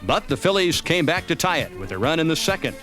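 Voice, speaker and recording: A man's voice: fairly high pitch, very animated, fast talker. An American man reading scripted narration that recaps a baseball series. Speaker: documentary narrator. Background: music.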